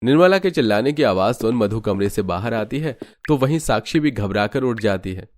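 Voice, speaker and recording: A man narrating an animated moral story in a scripted storytelling style. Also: on the low side, moderate pace, soft background music.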